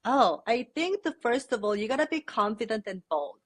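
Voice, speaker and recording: A woman's voice: on the high side, normal speed, slightly rough. Korean woman chatting in an interview. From South Korea. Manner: relaxed, thoughtful, frequent fillers. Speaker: podcast guest. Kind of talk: casual interview chat.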